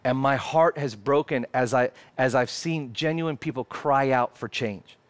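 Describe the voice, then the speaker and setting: A man in his thirties with an Australian accent, preaching a sermon into a headset mic on a church stage, emphatic and shifting between fervent and hushed. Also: medium pitch, a little slow. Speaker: pastor. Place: church stage in front of a lit screen.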